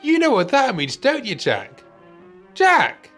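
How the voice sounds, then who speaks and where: A man acting an anxious older sidekick in a radio play, grumbling and plaintive. His voice is bright and swings widely in pitch. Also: huge pitch swings, slow pace, faint music behind, exaggerated voice. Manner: worried, plaintive questioning.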